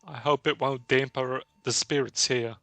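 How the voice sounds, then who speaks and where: A man's voice: medium pitch, fairly even intonation, normal speed, vocal fry, fairly bright tone, no echo. A man hosting a casual podcast chat, laughing often and reading out game text. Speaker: podcast host.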